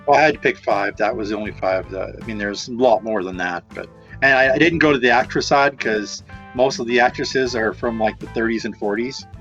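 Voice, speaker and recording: A man's voice: medium pitch, brisk, a bit bright, highs cut off, faint music behind. Laid-back man rambling about actors. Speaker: podcast co-host. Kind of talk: casual movie podcast chat.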